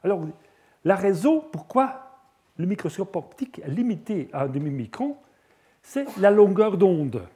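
A middle-aged man with a Flemish accent gives a scientific talk. His voice sits a bit high yet sounds mellow and soft-edged. He speaks haltingly as a non-native speaker, pausing on fillers and restarting his phrases. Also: very animated. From Belgium (Flanders). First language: Dutch (Flemish). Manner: hesitant, many fillers and restarts. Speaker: lecturer. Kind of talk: scientific lecture with slides.

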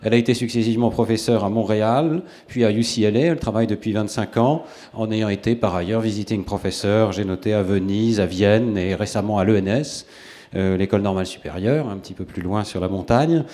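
A man giving a formal introduction of a guest lecturer, measured and full of hesitations. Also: fairly low pitch, warm tone. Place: lecture hall.

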